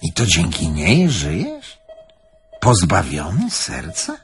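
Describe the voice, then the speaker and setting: An actor playing a nostalgic, proud older German man in an audio drama, speaking in a theatrical, deliberate, halting way. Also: sits low, huge pitch swings, faint music behind, full character voice. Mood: hesitant, probing. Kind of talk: Polish audio drama acting.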